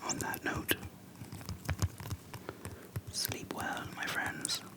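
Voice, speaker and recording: An ASMR host speaking in a soft, breathy unvoiced whisper, slow and hushed with pauses between short phrases, close to the microphone. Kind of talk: whispered ASMR, relaxed personal chat. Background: tapping on a plastic case.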